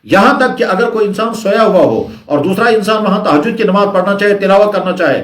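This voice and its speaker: A man's voice: high voice, expressive, fairly quick, fairly bright tone. A man giving an instructional Islamic sermon in a didactic way, repeating phrases for emphasis. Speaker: Islamic preacher. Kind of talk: sermon, instructional religious talk. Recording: echoey, reverberant room.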